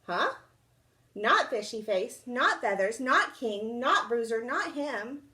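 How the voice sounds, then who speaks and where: A young woman reading a children's storybook aloud indoors in an expressive, dramatic storytime style. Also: a little high, slow pace. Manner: animated, dramatic. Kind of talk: expressive picture-book read-aloud. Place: small indoor room.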